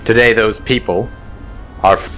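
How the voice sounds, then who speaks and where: A man playing a weary fighter-wing colonel who speaks in a solemn, measured way in a fan audio drama. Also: fairly low pitch, steady tone, bright, forceful tone, faint music behind, poor audio. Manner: solemn and measured, dryly blunt in private. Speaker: colonel and squadron commander.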